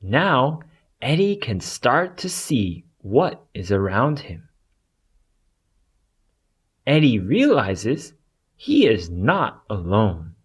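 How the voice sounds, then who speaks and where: A narrator reading a scripted picture story aloud for young children, deliberately and in simple phrases with pauses. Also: mid-range voice, wildly swooping pitch, breathy edge.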